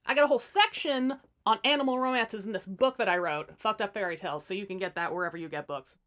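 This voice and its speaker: A woman's voice: mid-range voice, quick pace, fairly bright tone, soft background music. A woman hosting and narrating fairy tales as scripted comedy, with wry, irreverent asides. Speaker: host and storyteller.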